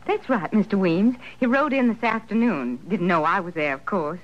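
A woman's voice: mid-range voice, animated, moderate pace, lightly acted. A woman acting in an old-time radio western as a plucky, earnest frontier daughter with a rural Western American accent. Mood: bright, chatty. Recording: dull old radio recording, faint noise.

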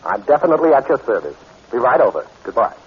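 An actor playing a suave, wry adventurer hero in conversational 1940s radio style. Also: male voice, mid-range voice, big pitch swings, fast, husky, heavily acted. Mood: wry, easygoing. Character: suave, wry adventurer hero, good-hearted former thief. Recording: dull 1940s radio recording.

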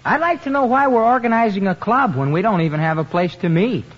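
A performer playing a tall, earnest adolescent boy in a 1950s radio sitcom, deliberate and matter-of-fact, a dry know-it-all. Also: medium pitch, animated, breathy edge. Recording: dull 1950s radio broadcast, faint noise.

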